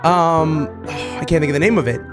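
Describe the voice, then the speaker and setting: A man hosting a reaction video, talking casually to viewers with excited, repeated exclamations. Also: on the high side, moderate pace, over music. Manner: casual, repetitive exclamations, addresses viewers familiarly. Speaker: video host reacting to game clips.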